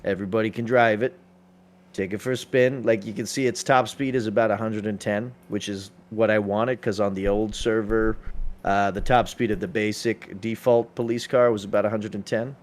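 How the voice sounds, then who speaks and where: A man with a lowish voice talks informally while playing a game. He explains things in an emphatic way, often restating a point to make it land. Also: steady tone, normal speed. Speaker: roleplay server police lead. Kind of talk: casual chat while gaming.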